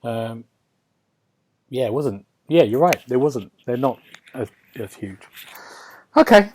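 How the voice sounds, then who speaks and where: A man's voice: medium pitch, expressive, slow, darkish tone, slight background noise. A man hosting a casual gaming podcast, rambling and thinking aloud, often seeking agreement. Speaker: podcast host.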